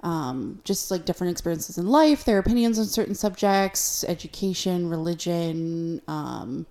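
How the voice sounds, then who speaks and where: A young woman with a fairly low voice and a very relaxed, mellow tone. She talks casually as a podcast co-host, in everyday conversational chat. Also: moderate pace.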